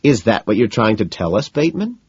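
A domineering young yuppie guy played by a male narrator in an audiobook. He is exasperated and sarcastic, with raised pitch and emphatic, stretched words, recorded dry. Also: big pitch swings, fairly quick, darkish tone, breathy edge, no room sound, dull audio, heavily acted. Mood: mocking.

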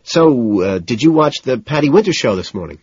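A man voicing a nervous, overeager well-off man who rushes and stammers while faking composure, in an audiobook. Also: mid-range voice, sing-song, darkish tone, husky, voice pushed up. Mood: nervous, earnest. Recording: dull, lo-fi, roomy sound.

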